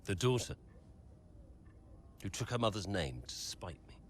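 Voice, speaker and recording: A man's voice: fairly low pitch, sing-song, a little slow, a little hiss or hum. An actor playing a curt, embittered male team backer, terse and menacing in a dramatic game cutscene. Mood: bitter.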